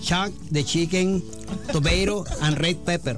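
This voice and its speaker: High-voiced man, halting simple phrasing. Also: over music. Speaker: guest home cook. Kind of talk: casual chat.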